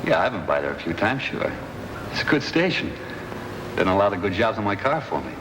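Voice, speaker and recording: Shifty factory-worker suspect, talks fast, lowish voice, hissy old radio recording. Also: male voice, reverberant, in a large hall. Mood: evasive. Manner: evasive, defensive, then flat confession. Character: evasive, defensive male murder suspect, a factory maintenance man. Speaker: murder suspect. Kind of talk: 1950s radio drama acting.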